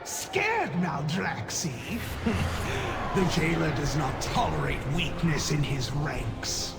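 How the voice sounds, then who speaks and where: A performer voicing a vengeful spectral queen who scorns her foes, with a gravelly, mocking delivery over loud game music. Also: fairly high pitch, very animated, slow, exaggerated voice. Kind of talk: video game cutscene acting. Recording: game score behind.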